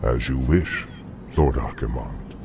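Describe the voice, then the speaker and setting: A man playing a proud, scheming demon lieutenant in a video game, with a gravelly voice and a theatrical, sinister delivery. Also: extremely low pitch, takes it slowly, full character voice. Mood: obedient. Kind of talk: video game cutscene acting. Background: heavy music.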